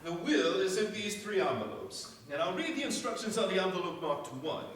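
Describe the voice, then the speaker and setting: A formal, authoritative family lawyer in a radio play, acted by a man. The voice is high and animated yet official, heard from a distance in a very echoey space. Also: brisk. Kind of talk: staged radio play acting. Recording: echoey stage, distant mic, faint hiss.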